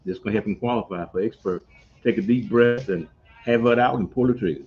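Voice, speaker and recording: A man, a veteran guest speaking in a slow, halting, plain-spoken way. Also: on the low side, slightly rough. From Montgomery, Alabama. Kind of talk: casual interview. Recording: dull lo-fi recording with faint noise.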